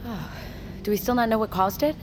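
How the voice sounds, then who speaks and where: An actress playing a friendly, easygoing and supportive crew member, casual and self-deprecating, in an audio drama. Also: female voice, on the high side, expressive, brisk, noisy background, natural voice. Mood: concerned, supportive. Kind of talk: naturalistic audio drama acting.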